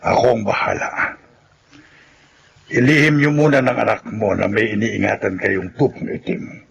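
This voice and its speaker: Radio horror: ominous Filipino folk healer, heavy vocal fry, fairly low, grave. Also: male voice, steady tone, moderate pace, a bit bright, lo-fi sound, a little hiss or hum. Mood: ominous, assuring. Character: grave, foreboding male village folk healer.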